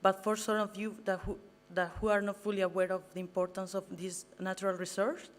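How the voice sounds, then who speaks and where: A young woman with a Colombian Spanish accent speaks at an event, her first language plainly Spanish. She delivers a prepared talk with earnest care, a little nervous and sometimes tripping over words. Her pitch sits in an ordinary middle range. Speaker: guest speaker, master's student. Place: event venue.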